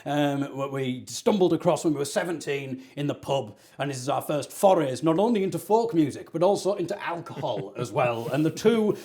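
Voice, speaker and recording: A man's voice: on the high side, lively intonation, brisk, hardly any pauses, dark tone. A man, hosting a jokey online show and telling stories about his band while laughing at himself. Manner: self-mocking, laughing often. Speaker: host, folk singer. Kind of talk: jokey livestream chat.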